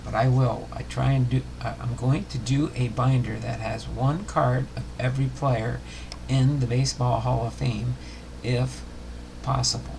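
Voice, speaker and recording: A man hosting a livestream, talking casually and reading aloud in a halting way with uneven phrasing. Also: medium pitch, steady tone, creaky, noisy background. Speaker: host of a baseball biography livestream.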